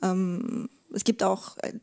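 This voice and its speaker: A woman speaks as an expert guest in a conversational interview. Her voice is mellow and relaxed at a medium pitch, and she explains step by step, pausing to search for the right word. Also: gravelly, clear, resonant tone. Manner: hesitant, searching for words, explanatory.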